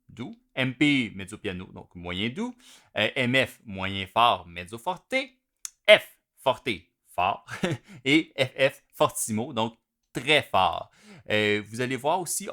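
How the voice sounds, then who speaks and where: A man with a Quebec French accent teaching drums in a relaxed, explanatory way, with plenty of fillers. His voice sits at an ordinary middle pitch. He talks through the material informally and stops between phrases to play. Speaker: drum teacher. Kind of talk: instructional video lesson.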